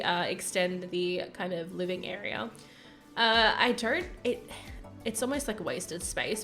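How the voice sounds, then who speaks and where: A young woman chatting casually over a game build video, rambling and giggly with lots of fillers, her voice croaky from early morning. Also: mid-range voice, fairly bright tone. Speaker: gaming YouTuber narrating a build.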